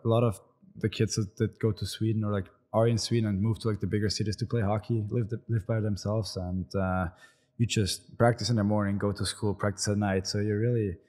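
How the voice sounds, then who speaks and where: A man in his twenties with an Austrian German accent, a pro hockey player answering interview questions in a halting way, full of fillers and restarts. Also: on the low side, level tone, darkish tone. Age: 20s. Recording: long reverb, echoey room.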